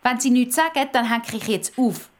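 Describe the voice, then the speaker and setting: Casting: young girl, voiced by a woman, raised pitch, Swiss German accent, earnest and guarded yet spirited, children's audio drama. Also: moderate pace, no room sound, close to an everyday voice. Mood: wary, firm. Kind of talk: children's radio play.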